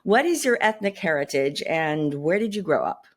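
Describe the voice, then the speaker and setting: A woman hosting a radio show, reading a polished introduction and asking her guest questions in a measured way. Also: low voice, very animated. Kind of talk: scripted introduction and interview questions.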